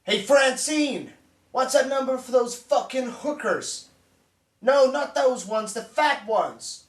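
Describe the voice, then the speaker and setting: A man playing a profane, bullying spoiled son of a crime boss, in a dark comic drama. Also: a little high, normal speed, lightly acted. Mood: angry, taunting. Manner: profane, aggressive, ranting. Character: foul-mouthed bullying spoiled heir of a crime boss father. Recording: roomy echo, distant microphone.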